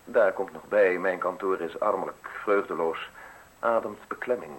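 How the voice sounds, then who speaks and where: An actor in a radio play playing a polite, insinuating state official with a formal, measured way of speaking. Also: male voice, low-pitched, breathy edge. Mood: courteous, insinuating. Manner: formal, measured, courteous, deliberate phrasing. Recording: hissy, noisy old radio recording.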